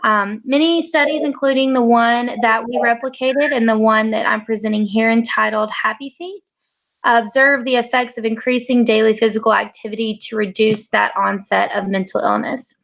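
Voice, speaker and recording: A young woman presents a research study for a class, her voice a little high with a gravelly edge. She reads from notes, stopping often for fillers and short pauses. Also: fairly even intonation, fairly bright tone, rough recording. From United States. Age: 20s. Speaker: graduate student presenter.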